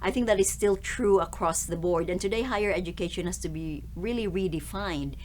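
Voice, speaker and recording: A middle-aged woman from the Philippines, a university president, answering interview questions in a measured, articulate way. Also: lowish voice, a little mellow, a little hiss or hum.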